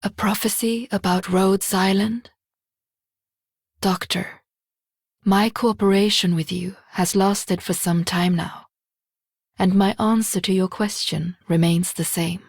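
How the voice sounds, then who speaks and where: An actress playing a grave, enigmatic prophetess with a raspy, creaky voice in a game monologue. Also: female voice, medium pitch, fairly even intonation, slow, no echo, clean studio sound. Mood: grave, cryptic. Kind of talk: scripted game character monologue.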